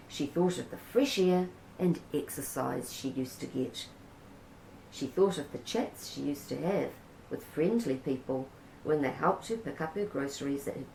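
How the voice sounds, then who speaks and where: A woman with a New Zealand accent reads a children's story aloud, gentle and unhurried. Her voice sits low with a gravelly edge, and the room gives it a noticeable echo. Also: slight background noise. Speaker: storybook reader. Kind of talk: reading a children's picture book aloud. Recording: roomy echo.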